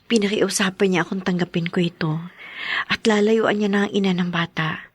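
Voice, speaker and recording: An actress with a Filipino accent playing a hurt, forgiving wife in a radio drama, pleading and emotional. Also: female voice, mid-range voice, fairly even intonation, fairly quick, slightly rough, dry recording, natural voice. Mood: subdued, resigned.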